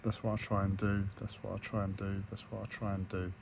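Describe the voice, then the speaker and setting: A man with a croaky, hoarse voice, talking hesitantly with many fillers and restarts in a personal video diary recorded on a tablet. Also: lowish voice, flat delivery, a little fast, heavy background noise. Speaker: vlogger.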